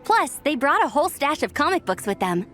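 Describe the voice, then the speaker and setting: A woman's voice: high voice, big pitch swings, fairly quick, a bit bright. A woman playing a perky, friendly young contest staffer in a video game. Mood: friendly, excited. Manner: upbeat, chatty. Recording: faint music behind the voice.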